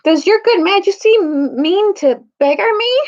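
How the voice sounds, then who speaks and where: Woman voicing a high-pitched, brash storybook slave auctioneer. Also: animated, moderate pace, a little mellow, lo-fi sound. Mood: oily, whining. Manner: bellowing auction patter. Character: brash male slave auctioneer, oily and whining when cornered. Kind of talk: children's storybook read-aloud.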